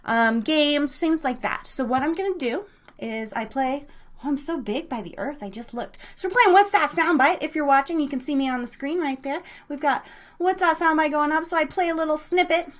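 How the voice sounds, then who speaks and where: An excitable, bubbly woman chatting casually and slangily as she hosts a live internet radio show. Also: high voice, normal speed. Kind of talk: casual rambling livestream chat with an online audience.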